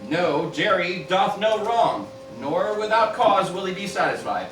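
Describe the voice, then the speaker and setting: Man playing a pompous high-voiced Caesar. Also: expressive, measured pace, over music. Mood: pompous, scornful. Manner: pompous declaiming, stumbles over verse. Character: Jerry as Caesar, pompous self-important male ruler. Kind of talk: amateur parody of Shakespeare as radio play. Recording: roomy, distant mic, loud background noise.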